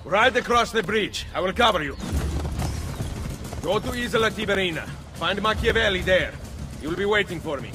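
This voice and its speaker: Italian assassin hero, game drama: slightly high male register, decisive and earnest, reverberant sound with some score. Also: animated, normal speed. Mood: urgent, commanding. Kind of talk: dramatic video game acting. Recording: long reverb, music behind.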